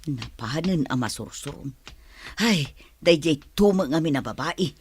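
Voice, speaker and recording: A woman playing a husky, scornful woman. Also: low voice, expressive, moderate pace, a little mellow.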